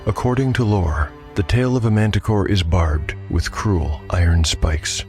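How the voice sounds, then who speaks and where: Measured male fantasy narrator over music. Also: sits low, darkish tone. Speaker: host and narrator. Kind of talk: scripted dramatic fantasy narration. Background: background music.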